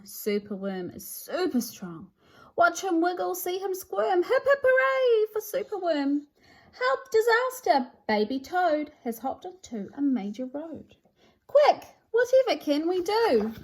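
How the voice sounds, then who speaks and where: A woman presenting and reading a children's picture book aloud in a theatrical, sing-song rhyming delivery. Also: sits high. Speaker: storybook reader and presenter. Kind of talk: expressive children's read-aloud.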